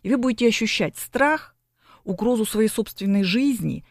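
Woman, psychology guest, gravelly voice, lecturing, dry close sound. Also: on the high side, animated, normal speed, no echo. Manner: lecturing, with frequent tag questions. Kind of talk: explanatory broadcast interview.

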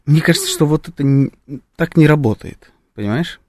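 Male radio co-host, lively pitch, halting and wry delivery, dry studio sound. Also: no room sound. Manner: hesitant, wry, many fillers and restarts. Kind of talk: live radio talk.

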